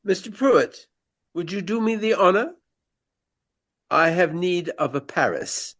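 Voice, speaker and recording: A theatrical gentleman of the Regency stage in a radio drama. He declaims at a very leisurely pace in a fairly high male voice, courtly and earnest, savouring florid phrases with hammy grandeur. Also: lively intonation, unhurried, full character voice. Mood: courtly, polite. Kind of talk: radio play acting, declaimed Shakespeare.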